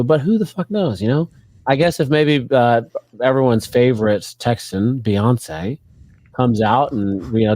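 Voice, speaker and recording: A man co-hosts a political podcast, slightly hoarse from a touch of laryngitis. He talks brashly and swears freely, and the recording is dry with no room sound. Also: mid-range voice, darkish tone, dry recording. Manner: profane, brash banter. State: losing his voice, mild laryngitis. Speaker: podcast co-host. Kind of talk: casual political podcast chat.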